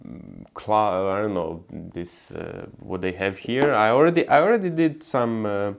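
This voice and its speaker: A man's voice: on the low side, smooth. Hesitant Croatian-accented man vlogging. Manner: hesitant, many fillers and restarts. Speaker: gardening vlogger. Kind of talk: casual talk to camera.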